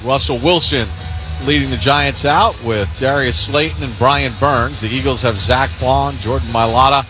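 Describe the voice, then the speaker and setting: A man's voice: medium pitch, fairly even intonation, moderate pace, music in the background. A man working as a radio sports announcer, giving descriptive play-by-play in clipped phrases with scripted sponsor reads. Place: stadium broadcast booth.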